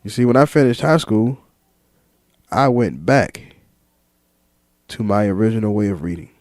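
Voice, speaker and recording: Low, mellow man speaking slowly and deliberately. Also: lively intonation, no room sound. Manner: slow, deliberate, with repeated words and fillers; lists ideas in measured single words. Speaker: vlogger. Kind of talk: reflective monologue to camera.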